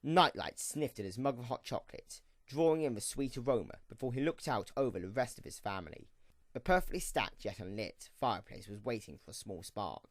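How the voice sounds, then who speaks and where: A man narrating a scripted story for an audio drama in an expressive storytelling manner. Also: mid-range voice, fairly quick, no room sound.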